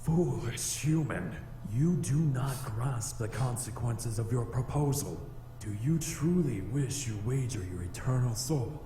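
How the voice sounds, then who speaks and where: A man's voice: medium pitch, lively intonation, dark tone, lightly acted. A man voicing a haughty, easily angered male demon in a visual novel game, speaking in a formal, pompous way. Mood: menacing, condescending. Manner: pompous, formal, slow delivery. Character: haughty centuries-old male flame demon, easily enraged. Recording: roomy echo with music behind.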